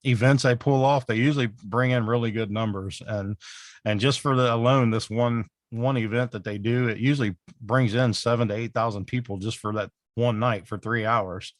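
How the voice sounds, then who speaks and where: Worn out raspy guy, rambly podcast chat, lots of fillers, dry sound. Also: mid-range voice, steady tone, moderate pace, no room sound. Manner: rambling, full of "you know" fillers. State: exhausted, worn out. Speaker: podcast co-host. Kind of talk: casual chat.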